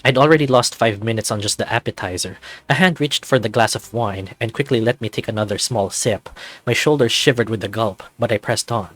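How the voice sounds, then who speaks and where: A man's voice: fairly low pitch, a little fast, a bit bright, dry recording. A man reading scripted first-person story narration for a visual novel.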